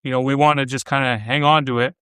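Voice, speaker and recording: A man's voice: medium pitch, flat delivery, fast talker, sharp tone, smooth, dry recording, clean studio sound. A man hosting a casual podcast chat about faith, reflective and fond of listing examples. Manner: reflective, builds lists of examples. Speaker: podcast host.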